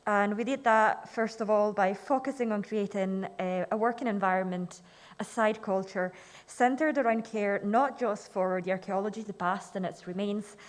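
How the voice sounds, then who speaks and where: A young woman from Switzerland speaks at a talk in a mid-range voice. She is measured and careful, pausing on occasional fillers. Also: medium pitch, steady tone. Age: young adult. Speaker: student presenter. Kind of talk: conference presentation. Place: lecture hall.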